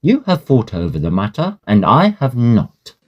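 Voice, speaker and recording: An actor playing a sceptical, kindly, fussy Victorian gentleman doctor in a radio drama, proper and measured in manner. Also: medium pitch, huge pitch swings, lo-fi sound. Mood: puzzled.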